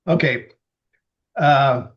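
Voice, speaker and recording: A man in his seventies from Los Angeles reads his own poems slowly and deliberately, with halting, chatty asides between them. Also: medium pitch, fairly bright tone, dull audio. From Los Angeles, USA. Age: 70s. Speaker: poet reading his libretto. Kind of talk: poetry reading with casual asides.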